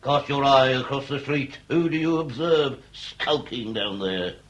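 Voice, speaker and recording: An actor playing a middle-aged Victorian police inspector, pompous and grandiloquent, in a period radio comedy drama. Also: male voice, mid-range voice, crowd noise, lightly acted. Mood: probing, portentous. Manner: grandiloquent, deliberate, commanding.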